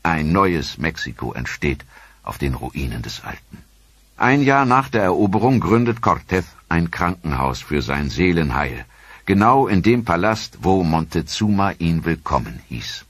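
Very deep grave documentary narrator, a man. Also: very animated, slightly rough, no room sound. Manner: grave, measured.

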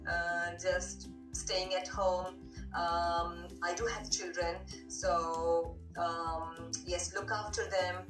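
A woman's voice: fairly low pitch, little pitch movement, extremely slow. A woman from Pakistan with a Pakistani English accent, chatting at length as an online English tutor, full of fillers. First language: not English. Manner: chatty, long rambling answers with many fillers. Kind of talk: casual conversation lesson over video call. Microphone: tablet video call. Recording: echoey distant video-call audio with music.

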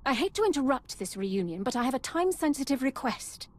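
Young captain, high animated voice, dutiful, courteous, brisk, game cutscene. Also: female voice. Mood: firm, courteous. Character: earnest, upright young female army captain. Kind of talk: video game cutscene acting.

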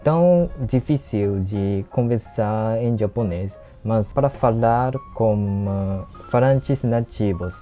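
A young man with a Japanese accent speaks in a slow, careful way, picking his words one by one in a language that is not his first. His voice is very dark and mellow, sits a little low, and comes through earphones on a video call. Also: faint music behind. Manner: slow, careful non-native speech with soft laughs. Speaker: host, language learner. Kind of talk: casual chat. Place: home room with gaming chair.

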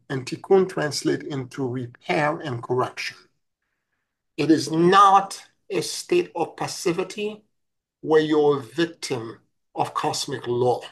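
A man's voice: a little high, a bit bright, low-quality recording. A middle-aged man teaching on spiritual practice over a video call, sounding nasal from a head cold, with frequent fillers and restarts. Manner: slow and deliberate, many fillers and restarts. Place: home room with a virtual background. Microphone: webcam video call.

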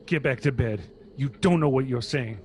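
A man's voice: mid-range voice, moderate pace, background noise, close to an everyday voice. A man playing a sorrowful, fearful elderly husband in a radio horror drama, grave and anxious. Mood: appalled.

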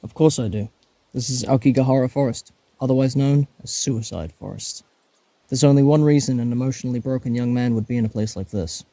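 A man's voice: mid-range voice, fairly quick, warm tone, no room sound, natural voice. A man playing an eccentric, persistent time traveller in a fan audio drama, flippant yet earnest and reassuring.

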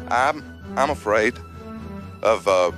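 A man in his forties from Atlanta answers haltingly into a handheld mic from a studio audience. His tone is bright and sharp. Faint music plays under the old broadcast. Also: fairly low pitch, animated. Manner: halting, hesitant. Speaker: talk show audience member. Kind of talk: archival talk show exchange.

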